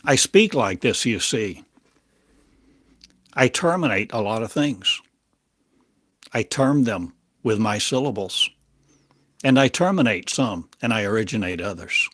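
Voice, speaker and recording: Man, lowish voice, very slow and measured, halting oracular channeling delivery, dry close sound. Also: very animated, fairly bright tone, no room sound. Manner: halting, fragmented, oracular phrasing with coined words. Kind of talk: prophetic channeled monologue.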